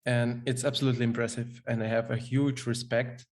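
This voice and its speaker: Measured male podcast host. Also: medium pitch, flat delivery. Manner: measured, searching for words with fillers. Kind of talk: interview questions.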